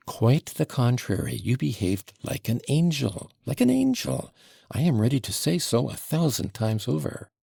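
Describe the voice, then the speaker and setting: A man voicing a flustered, gushing middle-aged society mother who talks in breathless, excitable exclamations. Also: mid-range voice, moderate pace, gravelly, hardly any pauses, husky, no room sound, studio quality, pitched above the performer's own voice.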